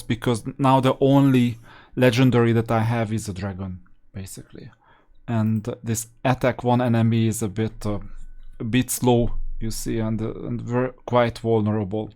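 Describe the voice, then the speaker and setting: A man giving casual gameplay commentary as a guide, explaining his choices and thinking aloud in a halting way. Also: mid-range voice, warm tone.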